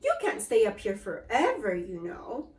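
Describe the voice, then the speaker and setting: A woman voicing a big, friendly, helpful bird in a storybook read-aloud, calm and reassuring. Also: medium pitch, a little slow, reverberant, far from the mic, voice pushed down.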